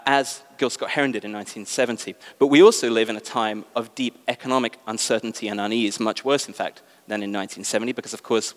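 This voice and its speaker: A man with a British accent giving articulate, lecture-style opening remarks as moderator of a public debate, with frequent ums. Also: on the low side. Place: large exhibition hall with an audience. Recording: long reverb of a large hall.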